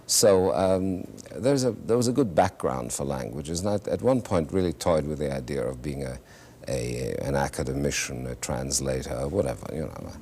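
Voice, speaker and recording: Man, very low voice, German first language, halting reflective storyteller, wry humor, faint hiss. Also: lively intonation, gravelly, darkish tone. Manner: thoughtful, halting with many restarts, wry humor. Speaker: interview guest, actor and singer. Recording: faint hiss, 1970s TV studio.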